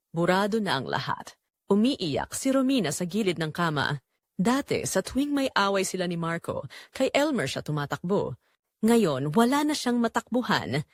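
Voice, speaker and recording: A woman's voice: medium pitch, dry recording, clean studio sound. A woman with a Filipino accent narrating a scripted dramatic story.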